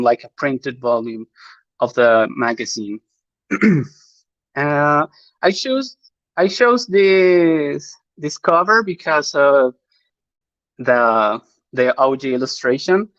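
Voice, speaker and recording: A native Spanish speaker from Chile, with a clear Chilean accent, talks slowly through a live online panel. The delivery is hesitant, full of fillers, restarts and small nervous laughs. The sound is dry and dull, like lo-fi stream audio. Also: medium pitch, fairly bright tone, no room sound.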